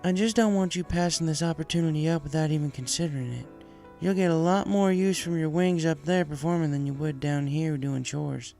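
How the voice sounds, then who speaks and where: A man voicing a caring, steady young female farm pony, folksy, reassuring and firm, in a story reading. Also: a little high, a little fast, gravelly, few pauses, soft background music, pitched above the performer's own voice.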